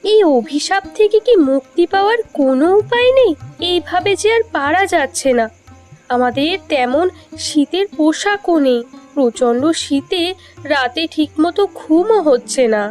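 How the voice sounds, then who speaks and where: A woman's voice: high-pitched, animated, normal speed, darkish tone, faint music behind. A woman playing a distressed, complaining village woman in a fairy-tale cartoon, pleading as she talks. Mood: weary complaint.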